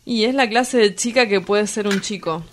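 Radio drama: woman as blunt, cynical young male Argentine street poet, idle and crude, mid-pitched. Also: normal speed, noisy background, natural voice. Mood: crude amusement. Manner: blunt, vulgar, teasing.

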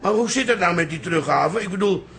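Stubborn old dad, gravelly, animated, indignant, radio comedy. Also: male voice, sits high, fast talker, heavily acted. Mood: eager, indignant. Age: older. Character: stubborn paranoid old father, greedy for money. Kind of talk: Dutch radio comedy drama acting.

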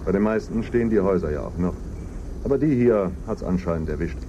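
An actor playing a seasoned expedition leader in a German radio drama, dry and instructive, giving orders casually. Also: male voice, sits low, expressive, fast, dark tone, slight background noise. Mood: wry. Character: seasoned male expedition leader, wry, matter-of-fact, rule-minded.

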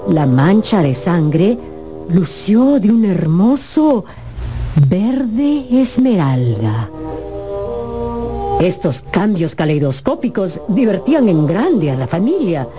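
A woman narrating a scripted radio drama in a measured, expressive, theatrical storytelling manner. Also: on the low side, over music.

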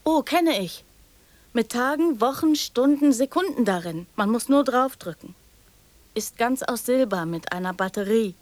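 A woman's voice: a little high, expressive, a little slow, no echo. An actress in a German radio drama playing a dreamy, naive woman in love who muses in short, childlike phrases.

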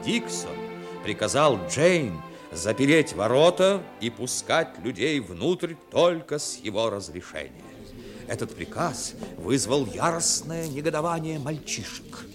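An actor narrating a seaside New Year tale in a measured, literary manner for a 1960s radio play. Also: male voice, medium pitch, very animated, music in the background. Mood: measured, expressive.

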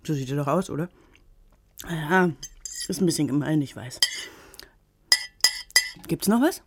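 A woman's voice: low-pitched, lively intonation, measured pace, noisy background. An actress playing a wry, idealistic woman in politics, chatting casually over dinner in a naturalistic radio drama. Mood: wry, frustrated. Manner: casual, wry, talking while eating.

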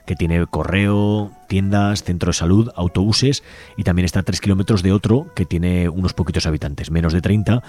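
Low, dark-voiced Spanish radio presenter. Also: male voice. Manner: measured, slightly halting delivery. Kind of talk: community radio presentation, partly read aloud.

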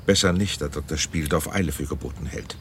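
Casting: a fatherly older general, very low voice, courteous and questioning, radio drama. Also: fairly quick, fairly bright tone, a little hiss or hum. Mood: composed, courteous. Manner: polite, composed, questioning.

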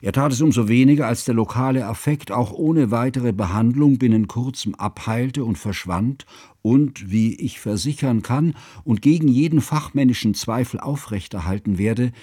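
An actor playing a cultured, devoted friend who narrates a literary radio drama in a measured, precise and formal way. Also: male voice, medium pitch, dark tone, breathy edge, dry recording, studio quality, lightly acted. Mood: reflective, measured. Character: cultured middle-aged male narrator, devoted, bookish friend.

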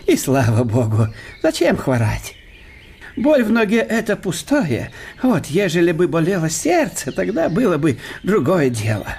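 A jolly german professor in a radio play, high husky voice, swings up and down a lot. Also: male voice, huge pitch swings, unhurried, darkish tone, slight background noise, exaggerated voice. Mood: jovial. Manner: chuckling, chatty and ingratiating. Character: cheerful obliging German professor, middle-aged man. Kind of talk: radio drama acting.

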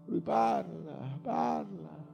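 An actor playing a wistful, haunted sailor's son in an intimate, hesitant, reflective theatrical monologue. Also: male voice, sits high, expressive, extremely slow, creaky, darkish tone, full character voice. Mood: dreamy, wistful. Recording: dull lo-fi sound, faint music.

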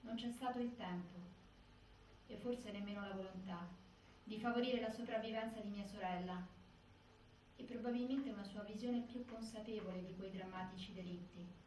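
Actress as a grieving sister giving a grave measured speech, super echoey, far from the mic. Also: female voice, mid-range voice, steady tone, darkish tone, natural voice. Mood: grave, resolute. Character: grieving, resolute sister of a dead prisoner. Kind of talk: scripted theatrical monologue. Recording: very echoey, distant, faint noise.

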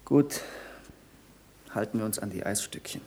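A man's voice: fairly low pitch, normal speed, a little mellow, close to an everyday voice. An actor in a 1960s German radio play, playing an eager young scientist who speaks earnestly to his master. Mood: eager, pressing.

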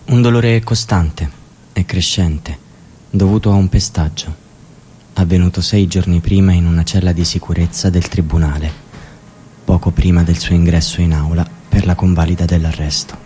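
A man giving grave, measured, indignant scripted radio narration. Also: sits low, fairly even intonation, dark tone, a little hiss or hum.

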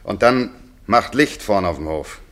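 An actor playing a middle-aged village vet and father in a German radio play, measured and matter-of-fact, patient with children. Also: lowish voice, expressive, fairly bright tone, a little hiss or hum. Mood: brisk, businesslike.

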